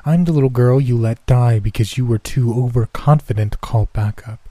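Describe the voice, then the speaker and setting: A man's voice: medium pitch, normal speed, mellow, no room sound, lightly acted. A man voicing a resentful dead little girl in a horror story, taunting and accusing. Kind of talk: horror story narration, character voice.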